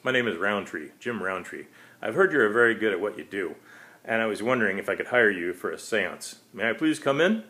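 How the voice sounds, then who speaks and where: A man's voice: lowish voice, animated, fairly quick, bright, forceful tone. A man voicing a polite, slightly awkward youngish male client in a short story, with a hesitant, understated manner.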